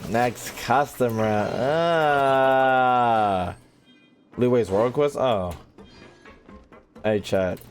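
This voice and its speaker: A man's voice: medium pitch, extremely slow, pauses often, soft background music. A man doing casual, slangy gameplay commentary as a streamer, exclaiming and reacting aloud. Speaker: gaming streamer.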